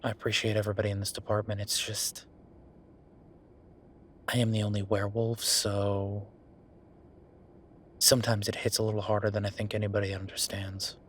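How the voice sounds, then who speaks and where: A man's voice: lowish voice, completely flat delivery, moderate pace, pauses often, close to an everyday voice. A man playing a frustrated, world-weary werewolf official talking intimately with his partner in an audio roleplay, in a conversational, sighing way. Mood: resigned, determined. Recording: clear background noise.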